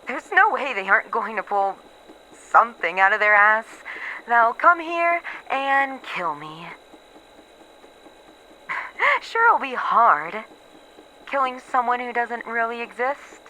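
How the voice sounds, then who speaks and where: Slow and halting, this is an actress as a despairing woman researcher recording a horror roleplay log. Her voice is bright and tense, with a bitter, self-mocking edge. She sounds resigned to her doom, over faint background noise. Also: a little high, exaggerated voice, low-quality recording.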